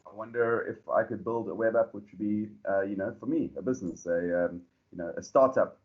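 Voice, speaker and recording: South African man, founder being interviewed, lowish voice, rambling delivery, dull lo-fi sound. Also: fairly quick. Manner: relaxed, rambling with many fillers and restarts. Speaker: startup founder and CEO. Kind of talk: podcast interview.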